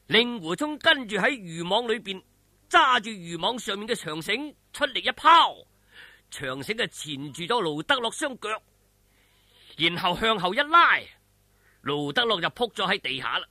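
A man's voice: high-pitched, sharp tone, no echo. A man with a Hong Kong Cantonese accent telling a martial arts story in a theatrical, colloquial, suspenseful style.

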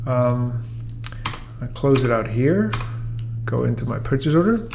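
Gravelly man explaining software casually. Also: medium pitch, a little slow, noisy background. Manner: relaxed and explanatory, with many fillers. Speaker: software trainer. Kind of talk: casual screen-recorded software tutorial. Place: room with a brick wall and whiteboard, then voice-over on screen recording.

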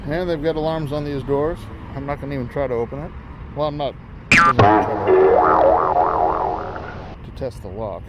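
A man's voice: medium pitch, fairly quick, pauses often, loud music behind the voice, rough recording. A man vlogging casually as he walks around outside, musing aloud with lots of fillers, recorded on a handheld phone. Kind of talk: casual walking vlog narration. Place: outdoors in a parking lot by a closed restaurant. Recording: outdoor traffic noise.

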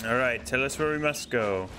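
A man streaming casual gameplay, excitable and giving directions to a teammate. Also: medium pitch, moderate pace. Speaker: gaming streamer. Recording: loud game noise behind voice.

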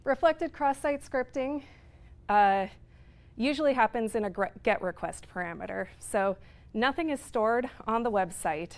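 A woman's voice: a little high, expressive, unhurried. A woman in her thirties giving a technical talk in a lecture room, explaining at length with frequent fillers. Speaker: conference speaker. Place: lecture room with projector screen.

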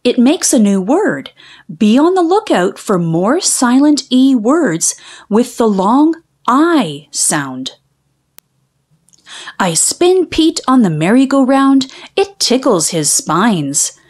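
A woman reads aloud for young children at an unhurried pace. Her voice is fairly high and swings widely in pitch. She is careful and deliberate, drawing out key sounds. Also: very animated, darkish tone. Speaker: read-aloud storyteller for children. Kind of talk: scripted children's story and phonics reading.

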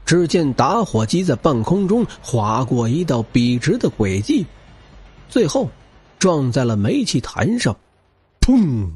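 A man's voice: medium pitch, very animated, measured pace, darkish tone, slightly rough. A man narrating action fiction as a scripted audiobook, with a dramatic, theatrical and intense delivery.

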